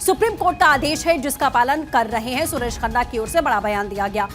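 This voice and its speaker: Woman, high pitch, TV news anchoring, music behind. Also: animated, a little fast, fairly bright tone. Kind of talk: broadcast news delivery.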